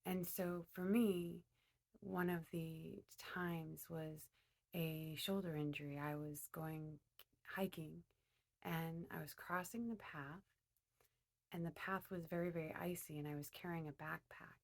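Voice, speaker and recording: Woman, meditation guide, slightly low pitch, deliberate phrasing, frequent mid-sentence pauses. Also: fairly even intonation, creaky. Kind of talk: guided meditation, speaking to listeners.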